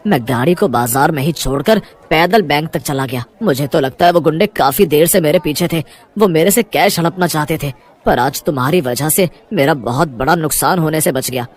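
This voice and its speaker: A man's voice: fairly high pitch, normal speed, close to an everyday voice. A man voicing a rich, grateful older businessman in a cartoon moral story, friendly and emphatic. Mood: relieved.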